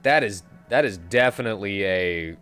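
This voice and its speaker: Male let's-play host, casual musing asides, animated intonation. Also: fairly low pitch, normal speed, faint music behind. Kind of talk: casual gameplay commentary.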